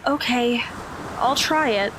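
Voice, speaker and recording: A woman playing a hesitant, polite and agreeable high-school girl in an audio drama. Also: takes it slowly, noisy background. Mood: tentative.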